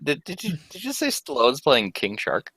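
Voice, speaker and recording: A man's voice: medium pitch, huge pitch swings, normal speed, sharp tone, slightly rough, no room sound. A young adult man chatting informally with friends, with the odd mild swear. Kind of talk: casual chat among friends.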